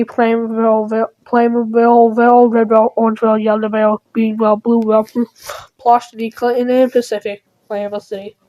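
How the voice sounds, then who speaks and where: A woman's voice: a little high, dark tone, poor audio. A woman doing an amateur mock emergency alert announcement, often stumbling and correcting herself as she reads. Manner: stumbling, often self-correcting, reciting place lists.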